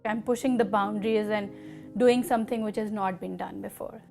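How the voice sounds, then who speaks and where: A middle-aged woman with a Pakistani accent, telling her own story in an interview in a measured, deliberate way with pauses. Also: mid-range voice, fairly even intonation, gravelly, loud music behind the voice. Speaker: artist interviewee.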